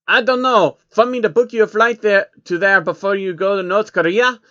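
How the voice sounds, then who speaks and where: A man's voice: sits high, moderate pace, fairly bright tone, dull audio, pitched above the performer's own voice. A man voicing a menacing older male banker villain in a fan storybook reading, speaking with venom and threats. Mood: sinister.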